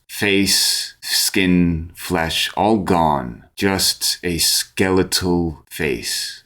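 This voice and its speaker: Deep voiced guy running a fantasy rpg, slow deliberate narration, describes everything. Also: fairly bright tone. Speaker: game master. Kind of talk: narrating a tabletop fantasy game.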